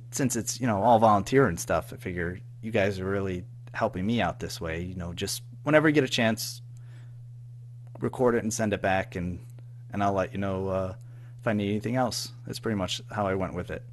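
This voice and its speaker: A man with a fairly low voice gives a casual interview in a halting, self-effacing way. He feels his way through his answers hesitantly. There is a faint noise and hum on the recording. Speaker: guest, audio drama writer and producer.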